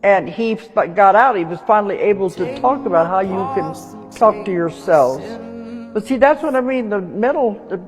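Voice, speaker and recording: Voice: medium pitch, animated, moderate pace. A speaker telling anecdotes in a talk to an audience. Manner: storytelling, anecdotal. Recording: long reverb with faint music.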